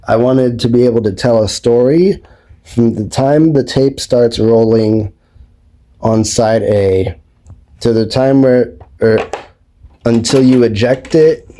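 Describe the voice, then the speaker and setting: A man talking casually as a video host, explaining things step by step in a rambling, unhurried way. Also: medium pitch, dark tone. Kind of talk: casual explanatory vlog talk. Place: indoors at home, behind the camera.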